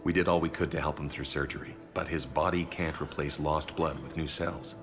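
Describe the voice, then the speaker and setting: An actor playing a frank, sober doctor who speaks in a measured, grave, matter-of-fact way. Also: male voice, extremely low pitch, fairly even intonation, music in the background. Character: frank, sober hospital doctor delivering bad news.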